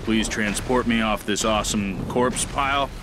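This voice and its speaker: An actor playing a cocky, wisecracking heavy-metal roadie in a video game, talking in a casual, wry, slangy way. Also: male voice, on the low side, normal speed, background noise, natural voice. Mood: awkward, casual. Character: brawny laid-back heavy-metal roadie, cocky, wisecracking adult man. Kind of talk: video game cutscene acting. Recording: loud game music behind.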